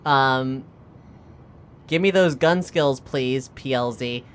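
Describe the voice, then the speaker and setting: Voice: medium pitch, slow, smooth. A tabletop RPG player chatting casually and jokily, improvising and at times speaking in character.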